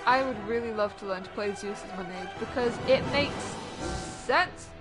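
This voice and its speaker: A young woman chatting excitedly and swearing playfully with friends over voice chat during a game. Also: a little high, normal speed, music in the background. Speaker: gamer on voice chat. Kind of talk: casual gaming banter.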